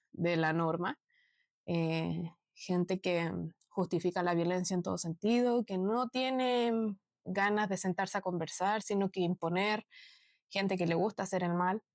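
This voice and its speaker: A woman in her late twenties from Chile, with a Chilean accent, talking earnestly and slowly to her viewers about her life, with many pauses and fillers. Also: mid-range voice, darkish tone, no room sound, studio quality. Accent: Chilean Spanish. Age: 20s. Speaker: vlogger. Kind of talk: reflective personal talk to viewers.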